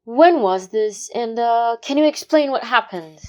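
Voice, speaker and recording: A woman's voice: fairly high pitch, animated, unhurried, warm tone, muffled recording. A woman playing a precocious, inquisitive boy detective who asks pointed questions. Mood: probing. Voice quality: inquisitive, theatrical.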